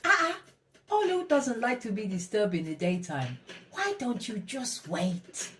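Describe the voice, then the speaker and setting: A woman's voice: fairly high pitch, big pitch swings, measured pace, a bit bright, a little hiss or hum. A woman voicing a cautious, worried female squirrel in a children's storybook, in a fretful sing-song. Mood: worried, reluctant.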